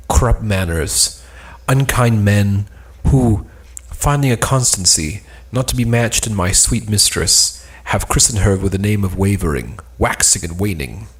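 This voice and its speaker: A man playing a lovesick, melancholy young nobleman in a stage play, speaking archaic lines in a lofty, declamatory way. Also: on the low side.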